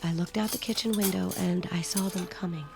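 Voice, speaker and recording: An actress playing a middle-aged, grieving and protective foster mother, gentle and emotional, in a radio drama. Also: fairly low pitch, steady tone, a little fast, gravelly, music in the background. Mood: tender, grieving. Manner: gentle, emotional, breaks into hushed tones.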